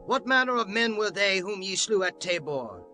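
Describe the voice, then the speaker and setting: An actor playing a stern, commanding war leader in a dramatized scripture reading, with declamatory, archaic speech. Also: male voice, high-pitched, big pitch swings, normal speed, bright, forceful tone, faint music behind, heavily acted. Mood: grave.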